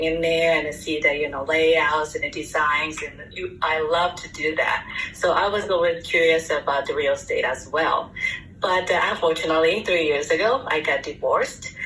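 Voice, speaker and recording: A low-pitched woman in her forties speaks English with a Japanese accent, pausing often to find her words. She sounds far from the mic in an echoey room. A faint hum runs underneath, like a remote call. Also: pushed and bright. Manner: halting, searching for words. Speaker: guest real estate agent. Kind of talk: interview answers. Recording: distant echoey remote line with faint noise.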